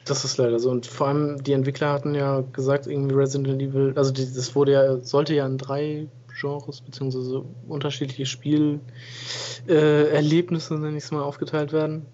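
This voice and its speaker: Flat-voiced German man in casual chat. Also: mid-range voice, moderate pace. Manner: flat, easygoing, self-correcting. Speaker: gaming podcast co-host. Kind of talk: casual game review chat. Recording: dull lo-fi home recording.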